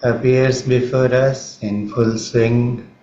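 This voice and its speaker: Indian guy doing guided meditation, super slow and calm, roomy slightly muffled sound. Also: mid-range voice. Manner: calm, measured, long pauses. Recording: roomy, slightly muffled, faint background noise.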